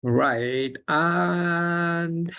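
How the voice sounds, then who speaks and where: Woman teacher, casual and halting, lo-fi call audio. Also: lowish voice. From Tamil Nadu, India. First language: Telugu. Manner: relaxed, many fillers and restarts. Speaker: script teacher. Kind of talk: online class explanation. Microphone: video call. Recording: dull lo-fi video call audio.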